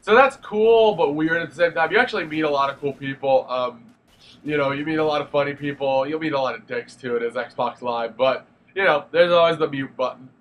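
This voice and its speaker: A man's voice: on the high side, fairly quick, fairly bright tone. A young man with a stuffy nose from a cold, giving a casual, rambling spoken review of a game with lots of fillers and restarts. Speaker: gaming video reviewer.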